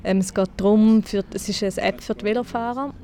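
A woman's voice: mid-range voice, fairly even intonation, brisk, dark tone, a little hiss or hum. A woman in her twenties with a St. Gallen Swiss German accent, chatting casually as a guest and laughing often. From St. Gallen, Switzerland. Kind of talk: casual conversation.

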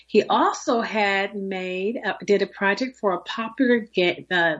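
A woman hosting a radio talk show, chatting in a lively, animated way with many restarts. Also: mid-range voice, a little slow. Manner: lively, animated, laughs easily, restarts often. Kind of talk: casual radio chat. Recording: dull lo-fi sound.

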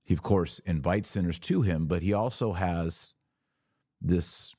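Guy doing a religious podcast monologue, really low voice, emphatic, super dry close sound. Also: measured pace, mellow, dry recording. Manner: emphatic, repeats key words for stress, rhetorical questions. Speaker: podcast host.

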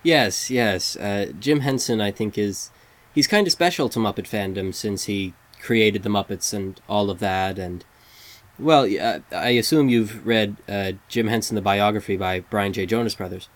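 A man's voice: fairly low pitch, moderate pace. A man hosting a fan site interview, polite and earnest, hesitating with fillers. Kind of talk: casual interview.

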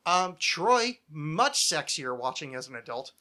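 Bright-voiced, rambling man in his thirties. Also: fairly high pitch, sing-song, unhurried. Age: 30s. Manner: rambling, digressive, freely profane. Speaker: podcast host. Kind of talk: casual chat about television.

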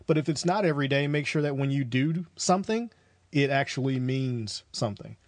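A man's voice: mid-range voice, animated, fairly quick, dry recording. A man hosting an interview podcast in a casual, informal, chatty way, acting out quotes as he talks. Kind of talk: casual chat.

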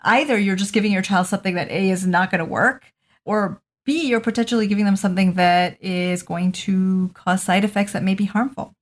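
A woman's voice: mid-range voice, fairly even intonation, fairly quick. Articulate woman doctor, middle-aged. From Malawi. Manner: articulate, with frequent ums. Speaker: pediatrician guest. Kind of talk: interview answers.

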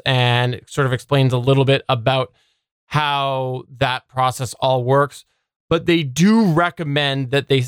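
A man's voice: medium pitch, fairly bright tone, clean tone, no echo, clean studio sound. A man co-hosting a podcast, talking through news in a conversational style. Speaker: podcast co-host.

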